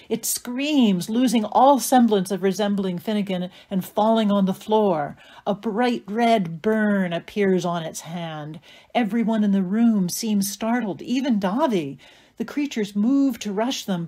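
A woman performing as the narrator of a dark fairy tale audio play, in scripted dramatic narration. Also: medium pitch, close to an everyday voice.

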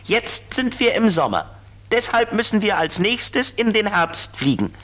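A man's voice: sits high, sing-song, a little slow, fairly bright tone, heavily acted. An actor voicing a friendly, playful little flying ladybird car in a 1970s children's radio play, cheerful and reassuring. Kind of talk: 1970s children's audio drama acting.

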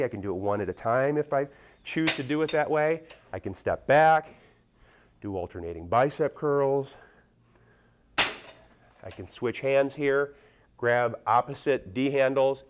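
A man's voice: medium pitch, normal speed, noisy background. A middle-aged American man giving a plain-spoken, explanatory product demonstration, like a fitness salesman instructing buyers.

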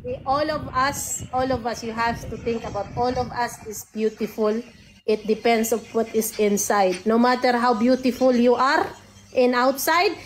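A playful woman in her thirties with a Filipino accent and a high voice, talking into her phone on a live video call. She teases and laughs often. A faint hiss of background noise runs under her voice. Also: poor audio. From Philippines. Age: 30s. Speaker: live-stream guest. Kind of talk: casual teasing video call chat. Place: room at home. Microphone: phone camera, close.

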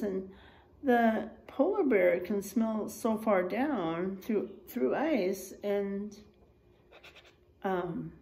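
A middle-aged woman reads to children in an animated teacher's style. Her pitch moves widely and she takes her time over phrases. She speaks encouragingly, addressing her young listeners directly. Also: poor audio. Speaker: teacher reading aloud to children. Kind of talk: animated children's read-aloud with asides to listeners. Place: small unfinished room or garage with drywall, indoors.

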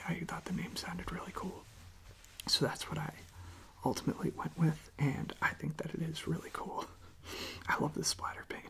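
A young man speaking in a hushed, breathy, mostly whispered ASMR style, unhurried and halting, close to the microphone. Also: fairly high pitch. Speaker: ASMR video creator. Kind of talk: soft-spoken ASMR.

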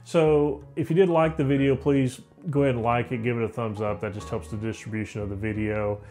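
A man in his forties giving a relaxed, conversational software walkthrough from a home office, with frequent fillers and restarts. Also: mid-range voice, brisk, soft background music. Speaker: software tutorial presenter. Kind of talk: casual screen-recorded software walkthrough.

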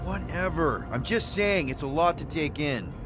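A man's voice: fairly high pitch, lively intonation, normal speed, close to an everyday voice. A man playing a casual, flippant space-pirate team leader in a video game. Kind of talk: video game character acting. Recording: loud music behind the voice.

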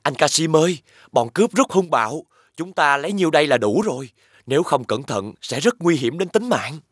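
Humble, high-voiced young cartoon hero. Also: male voice, sing-song, fairly quick, breathy edge, no room sound, clean studio sound. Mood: concerned. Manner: respectful, deferential. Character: humble, kind, honest younger brother. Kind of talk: animated fairy-tale voice acting.

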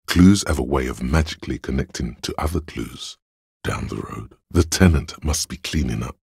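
An actor playing a calm, analytical inner voice of logic in a video game, gravelly and husky, speaking in a dry, measured, knowing way. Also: male voice, very deep voice, no echo, full character voice. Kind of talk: video game voice-over narration.

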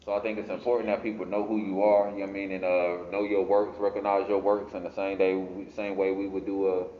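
A man's voice: deep voice, flat delivery, fast talker, a little hiss or hum. An American man interviewing someone in a casual, informal way, peppering his questions with little filler phrases, in an indoor space. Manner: informal, frequent "you know". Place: indoor art gallery. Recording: echoey room, dull muffled sound.

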